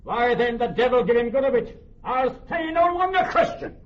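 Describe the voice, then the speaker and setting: A man playing a vengeful, obstinate older moneylender in classical radio drama, highly theatrical and swinging from hushed to shrill. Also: lively intonation, moderate pace. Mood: desperate, bitter. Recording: muffled, noisy old radio broadcast.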